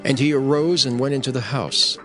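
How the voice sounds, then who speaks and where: A man narrating scripture for a dramatized audio production in a measured, declamatory manner. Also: medium pitch, steady tone, a bit bright, soft background music.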